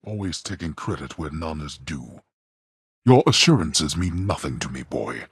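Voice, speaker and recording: A man's voice: sing-song, moderate pace, no room sound, full character voice. An actor playing a stern, proud god of the dead who scorns his son, speaking with cold, imperious contempt in video game voice lines. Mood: scornful. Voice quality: deep, raspy, gravelly. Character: stern proud male god of the dead, a harsh disapproving father. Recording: dry close studio recording.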